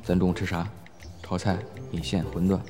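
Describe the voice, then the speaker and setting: An actor playing a brash, teasing male high school student in an audio drama, with a casual, slangy, blunt way of talking. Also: level tone, normal speed, over music, lightly acted. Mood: casual muttering. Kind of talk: Chinese audio drama acting.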